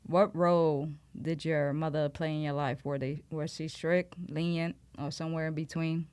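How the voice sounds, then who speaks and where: Low-voiced woman hosting a podcast, dry sound. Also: level tone, normal speed, darkish tone, smooth, no echo. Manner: friendly, short encouraging replies. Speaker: podcast host, DJ. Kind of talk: casual interview hosting.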